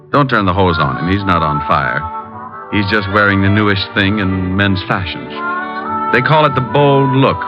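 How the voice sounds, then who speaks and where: A man delivers wry, wisecracking detective narration in the style of 1950s radio. His voice sits a little low. The recording is dull and lo-fi, like an old radio transcription, with music playing clearly behind him. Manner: dry sardonic patter.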